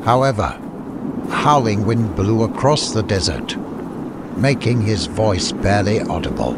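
A man's voice: fairly low pitch, expressive, a little slow, heavy background noise. A man reading a scripted adventure story as an audiobook narrator.

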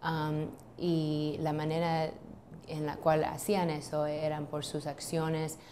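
A woman's voice: deep voice, completely flat delivery, slow, mellow, a little hiss or hum. A woman in her thirties, a lawyer, answering interview questions in an office, hesitant with many ums and restarts. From United States. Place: office, seated at a desk.

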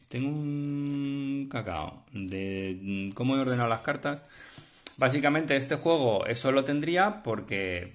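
A man with a Spain Spanish accent chatting off the cuff as a collector explaining things, halting and rambling as he checks in with listeners. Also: fairly low pitch, a bit bright. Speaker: vlogger and card collector. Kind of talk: casual off-the-cuff explanatory chat. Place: indoors at a table, handling cards.

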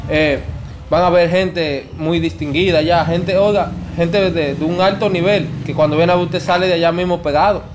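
A young man with a Dominican accent and a noticeably high voice works a prank phone call. He keeps insisting and pleading like a promoter closing a deal, with faint noise behind him. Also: fairly even intonation, normal speed. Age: 20s. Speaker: prank caller.

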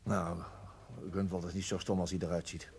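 A man's voice: sits low, level tone, moderate pace, vocal fry, darkish tone, a little hiss or hum. A man playing an insistent, argumentative police detective in a radio play, pressing his points with emphasis. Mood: subdued. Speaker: actor in a radio police drama. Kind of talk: Dutch radio play acting.

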